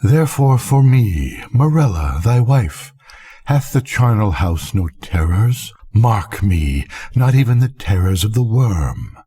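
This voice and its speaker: An older man voicing a dying wife in a gothic story, solemn and fervent, with husky, drawn-out, declamatory delivery. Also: lowish voice, big pitch swings, mellow, exaggerated voice. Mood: solemn, foreboding.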